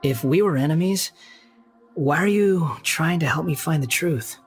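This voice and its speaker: An actor playing a young male wanderer with a lost past in a video game, polite, measured and reserved. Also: fairly high pitch, faint music behind. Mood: earnest.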